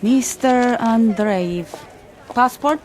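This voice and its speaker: An actress playing a polite airline check-in agent in a radio drama, formal, clipped and businesslike. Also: female voice, a little high, drawn-out words, a little mellow, faint music behind.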